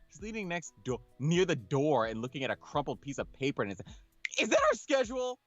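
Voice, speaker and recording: A man's voice: medium pitch, expressive, quick pace, no room sound, highs cut off, soft background music. A man casually reading a game aloud and chatting about it with slangy, sweary reactions. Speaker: let's-play host reading a visual novel aloud. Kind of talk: casual co-host chat and narration of a game.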